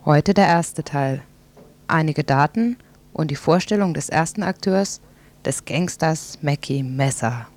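A radio presenter reads scripted introductions and short commentary. The voice sits at a medium pitch and speaks as if guiding listeners through a programme. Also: a little slow, a little hiss or hum.

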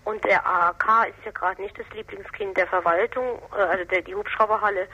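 A woman explaining local politics in a discussion, critical and emphatic, with halting phrasing. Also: medium pitch, fairly even intonation, bright, forceful tone, breathy edge. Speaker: political commentator on local council affairs. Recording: dull, lo-fi radio interview recording.

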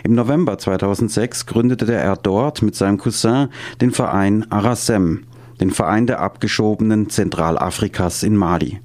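Low-voiced man narrating a documentary. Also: moderate pace, darkish tone. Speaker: narrator and voice-over reader.

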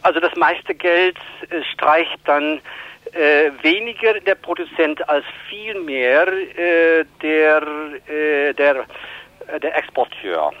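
A man answering interview questions as an aid organisation spokesman, with halting, hesitant phrasing. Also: a little high, dry recording. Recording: dull phone-line sound, dry.

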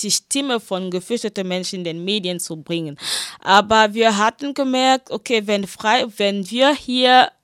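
Female interviewee, mid-pitched, explanatory and self-rephrasing, recorded very dry. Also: smooth, no echo. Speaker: interviewee, radio editorial team member. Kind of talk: spontaneous spoken interview answers.

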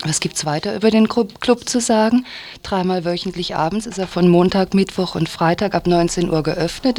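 A woman's voice: on the low side, a little mellow. A woman giving a scripted, informally worded local radio report.

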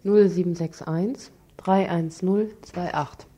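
Mellow-voiced woman reading radio news. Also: fairly low pitch, fairly even intonation, slow. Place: radio studio.

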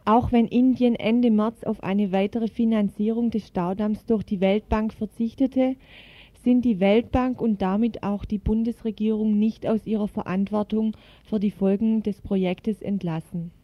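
A woman's voice: medium pitch, fairly even intonation, normal speed, dry recording. Woman reading a scripted report, muffled recording. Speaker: activist radio contributor reading a report. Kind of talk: scripted news feature narration. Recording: muffled, band-limited radio recording.

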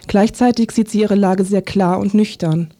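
A woman narrating a scripted literary radio feature in a sober, measured manner. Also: medium pitch, steady tone, warm tone.

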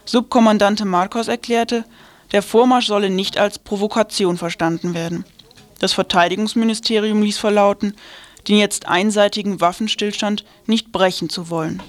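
A woman's voice: medium pitch, fairly even intonation, moderate pace. A woman reading a scripted radio news report.

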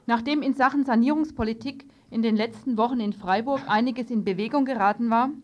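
Female radio presenter, fairly high voice, live studio news, dull lo-fi tape sound. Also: a little mellow.

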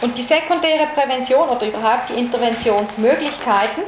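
A woman's voice: on the high side, brisk. A woman psychologist from Switzerland giving an explanatory lecture, illustrating her points with first-person examples. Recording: echoey room recording with noise.

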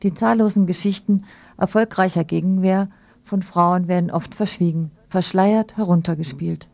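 A woman's voice: lowish voice, steady tone, normal speed, creaky, a little mellow, no room sound, lo-fi sound. A woman radio presenter reading scripted news items in a studio.

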